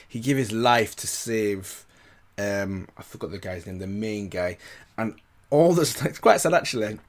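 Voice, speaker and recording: A man giving a casual, excitable reaction commentary, often repeating short phrases. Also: lowish voice. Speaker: reactor reviewing game cutscenes. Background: faint game music.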